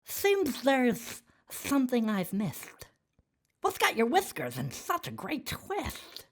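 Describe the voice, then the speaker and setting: A woman's voice: high-pitched, huge pitch swings, takes it slowly, voice pushed up, exaggerated voice. A woman voicing a kindly, earnest male rabbit who gives advice in a children's storybook. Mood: curious. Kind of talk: children's storybook read-aloud.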